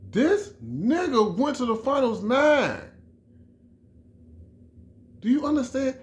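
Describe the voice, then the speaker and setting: A middle-aged man from Chicago giving an emphatic, repetitive sports opinion in a casual vlog, often restarting his phrases. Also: extremely high pitch. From Chicago, USA. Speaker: vlogger.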